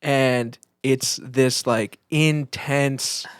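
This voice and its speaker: A man's voice: medium pitch, fairly even intonation, drawn-out words, smooth, no echo, studio quality. A man co-hosting a podcast, talking casually in a rambling, reflective way. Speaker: podcast co-host.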